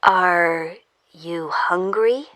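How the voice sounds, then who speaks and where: A woman as a bright, controlled female captor. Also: on the low side, no echo. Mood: slow, wary. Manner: measured, formal. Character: cool, controlled female captor, an unseen official voice. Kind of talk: audio fiction dialogue.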